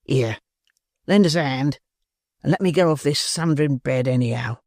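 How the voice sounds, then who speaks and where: A brutal, sickly housebreaker growls his orders and curses in short, gruff bursts, as a male narrator plays him. His speech drags slowly. The recording is dry and close. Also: mid-range voice, darkish tone, no echo, lightly acted. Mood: growling. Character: sick, brutal middle-aged male housebreaker, savage temper.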